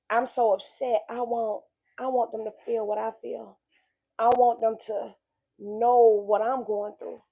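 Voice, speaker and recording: A woman's voice: a little high, dark tone, husky, low-quality recording. A woman, sharing an earnest, preachy faith message in casual talk. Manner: earnest, preachy, conversational with emphatic repetition. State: slightly raspy voice from illness. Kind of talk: casual spoken reflection.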